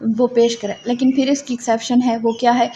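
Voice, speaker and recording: Female law lecturer, Pakistani accent, soft mellow tone, explains by question and answer; slightly muffled recording. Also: a little high, level tone, fairly quick. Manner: didactic, poses questions and then answers them. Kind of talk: lecture, reading legal text aloud and explaining it. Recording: dull lo-fi audio, faint background noise.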